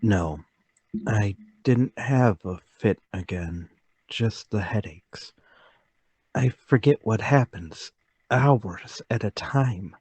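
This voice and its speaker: A man's voice: on the low side, a little mellow, breathy edge, dry recording. An actor playing a meek, troubled travelling salesman, hesitant and deferential, in a table read of a period murder mystery. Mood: haunted, confessing.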